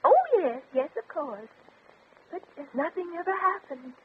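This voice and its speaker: An actress playing a shy, lovelorn, nervous older tea room owner, hesitant and fluttery, in 1950s radio comedy style. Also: female voice, sits high, expressive, moderate pace. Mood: wistful, shy. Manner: hesitant, fluttery, easily flustered. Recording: crackly, tinny old radio recording.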